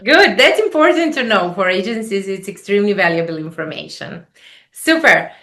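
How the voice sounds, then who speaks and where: A woman hosting an online meeting, introducing speakers with short, brisk prompts and thanks. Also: mid-range voice, animated, a crowd in the background. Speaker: webinar host. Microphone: video call.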